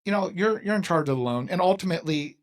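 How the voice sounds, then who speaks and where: A man's voice: sits high, lively intonation, fast. A man in his fifties hosting a casual interview, talking in an emphatic, folksy way and acting out other people's lines. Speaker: podcast host.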